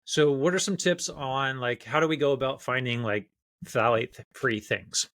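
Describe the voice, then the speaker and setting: A man's voice: medium pitch, moderate pace, fairly bright tone, no room sound. A man co-hosting a health show as a doctor, chatting casually and informally about advice for listeners.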